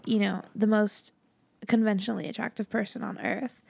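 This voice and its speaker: A young woman co-hosting a casual podcast chat, hoarse from losing her voice, rambling with fillers and giggles. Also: medium pitch, steady tone, moderate pace, a little mellow, no echo. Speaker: podcast co-host.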